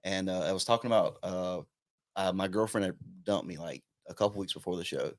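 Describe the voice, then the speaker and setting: A man's voice: deep voice, a little fast, no echo, studio quality. A man in his twenties from South Carolina, a guest comedian telling self-deprecating stories with frequent laughs in a casual podcast chat. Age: 20s.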